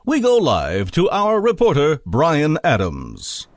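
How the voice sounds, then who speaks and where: A man's voice: fairly high pitch, huge pitch swings, slow, slight background noise, heavily acted. Man playing a vain, swooping news anchor. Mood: smug. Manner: pompous, self-admiring. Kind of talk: comedy newscast parody, scripted.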